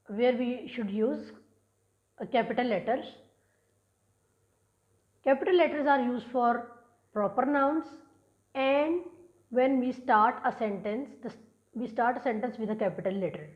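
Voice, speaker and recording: A woman's voice: fairly high pitch, a little slow, long pauses. A woman with a Pakistani accent teaching English grammar in a recorded lesson, explaining step by step and restating points with small restarts. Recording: dull lo-fi sound.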